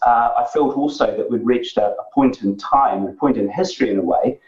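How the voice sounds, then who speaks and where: Kiwi man in his forties, video-call guest, halting and measured, dull lo-fi sound. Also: lowish voice, a little mellow. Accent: New Zealand. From New Zealand. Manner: measured, many fillers and restarts. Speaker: guest, tech executive. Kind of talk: remote interview. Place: dark home office. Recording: roomy, distant, dull lo-fi sound.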